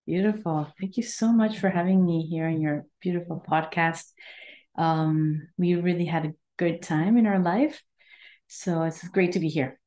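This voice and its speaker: A woman from Mexico and Guatemala, a wellness coach, telling her story in an earnest, unhurried interview voice with pauses while she searches for words. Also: fairly low pitch, mellow. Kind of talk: conversational interview.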